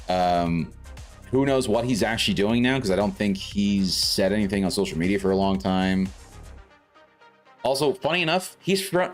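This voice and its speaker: A man from South Africa streaming a game, chatting casually and thinking aloud as he plays. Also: sits low. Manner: chatty, rambling, thinks aloud while playing. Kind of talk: casual gameplay commentary. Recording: faint game music behind.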